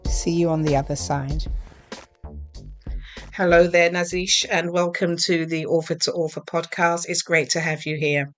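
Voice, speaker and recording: A woman hosting a podcast, delivering the intro and questions in a polished, measured way. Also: lowish voice, fairly even intonation, dry recording. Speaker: podcast host. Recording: music playing behind the voice.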